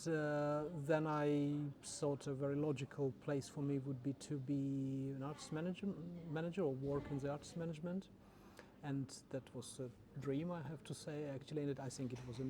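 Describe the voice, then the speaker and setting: A man who grew up in the Czech Republic, an orchestra's general manager, answering interview questions in a halting way with many repeated words. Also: mid-range voice. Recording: noisy background.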